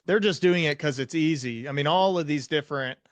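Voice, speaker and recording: A man's voice: fairly high pitch, brisk. An American man chatting casually as the host of an interview podcast.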